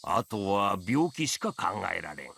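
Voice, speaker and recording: Gruff old coroner from a visual novel, gravelly, drawly old-man talk, very animated. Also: on the low side, brisk, a little hiss or hum, exaggerated voice. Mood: gruff, matter-of-fact. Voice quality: hoarse, gravelly. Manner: drawling, folksy elderly phrasing. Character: crusty old male coroner, gruff and wry. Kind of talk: Japanese visual novel voice acting.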